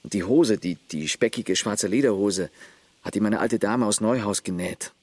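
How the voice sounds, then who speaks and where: A man's voice: lowish voice, normal speed, a little mellow, husky, dry recording. An actor playing a terse village man who looks after his grandfather, matter-of-fact in a radio play. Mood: storytelling.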